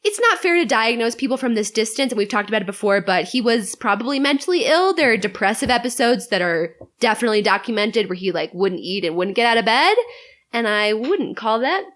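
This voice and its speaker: A woman's voice: a little high, expressive, a little fast, a bit bright. A woman speaking as a podcast guest in a casual, opinionated history chat. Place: in person around a table.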